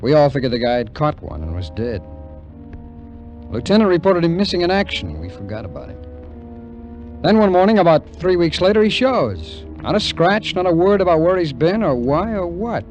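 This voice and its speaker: A man's voice: a little high, big pitch swings, normal speed, a little mellow, low-quality recording. An actor playing a wary, cynical American ex-soldier who tells his story in slangy, wry 1950s radio drama narration. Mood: hard-boiled recounting. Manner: slangy, hard-boiled, wry. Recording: vintage radio recording with music.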